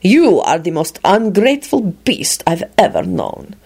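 An imperious vampire lord from a fantasy tale, played by a woman narrator. The pitch rides high and swings widely through formal, clipped phrases. He comes across cold, haughty and easily affronted. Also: big pitch swings, moderate pace. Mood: icy command.

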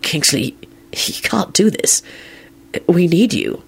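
A woman voicing a weary, gentle man who speaks quietly and mutters, in a storybook reading. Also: lowish voice, animated, moderate pace, slightly rough, heavily acted.

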